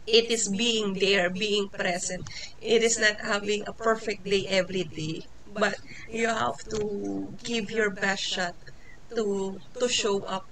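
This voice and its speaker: Filipino woman in her forties, unhurried informal class talk, hesitant with lots of fillers, crowd murmur behind. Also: mid-range voice, fairly even intonation, low-quality recording. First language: Tagalog. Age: 40s. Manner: hesitant, many fillers and restarts, laughs often. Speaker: teacher. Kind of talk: informal lecture.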